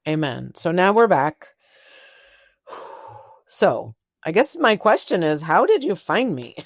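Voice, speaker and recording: A woman with a fairly low voice hosts a conversational interview. She is informal and chatty, working through her thoughts out loud, and the sound is dry and close. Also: sing-song, takes it slowly, no room sound. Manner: informal, chatty, thinking aloud. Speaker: interview show host.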